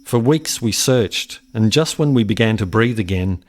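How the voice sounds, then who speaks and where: An actor playing a haunted farmer's son in a radio play, telling of a buried secret in a solemn, measured way. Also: male voice, fairly low pitch, expressive, lightly acted.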